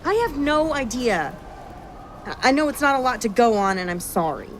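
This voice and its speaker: A woman's voice: a little high, lively intonation, moderate pace, slight background noise, close to an everyday voice, low-quality recording. A woman acting in an audio drama as an anxious, apologetic royal who gives orders in a hesitant, earnest way.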